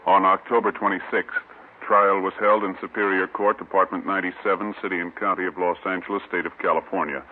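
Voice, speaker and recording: Lowish formal 1950s radio announcer, male. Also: level tone. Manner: solemn, measured, formal. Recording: tinny old radio broadcast recording.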